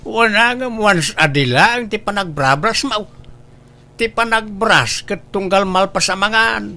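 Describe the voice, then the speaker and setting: Man playing a bright-voiced, boastful old Ilocano husband. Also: high-pitched, a little slow, full character voice. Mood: nagging, preachy. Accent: Ilocano Filipino. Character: boastful, health-obsessed old husband and veteran driver, nagging, cheerful. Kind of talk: Ilocano comedy drama acting.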